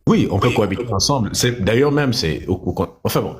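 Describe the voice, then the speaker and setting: A man with a husky voice chatting casually, pressing with insistent questions and trailing off mid-thought. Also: on the low side, animated, fairly quick. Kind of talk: casual chat.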